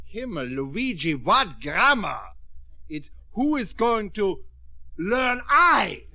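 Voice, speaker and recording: An actor playing a teasing, good-hearted German immigrant in a 1950s radio comedy, with a German accent and boisterous broken English. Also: male voice, high-pitched, big pitch swings, takes it slowly, bright, forceful tone, slight background noise, full character voice. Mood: enthusiastic. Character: jovial, teasing German immigrant man, henpecked joker and loyal friend.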